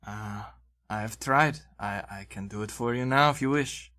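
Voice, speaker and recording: A man voicing an earnest, centuries-old male elf scholar in a tabletop game, speaking in a measured, formal, halting way. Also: on the low side, pushed and bright. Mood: guarded.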